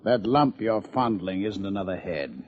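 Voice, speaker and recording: Male actor as dry police lieutenant, American, sardonic, animated intonation, muffled vintage radio drama sound. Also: on the low side, normal speed, a little hiss or hum, natural voice. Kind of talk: old-time radio detective drama acting. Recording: muffled old radio recording.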